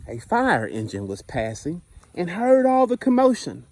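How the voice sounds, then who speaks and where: A man's voice: high voice, wildly swooping pitch, a little slow, darkish tone, slight background noise. A man, a school principal, reading a children's picture book aloud expressively, with asides to the listeners.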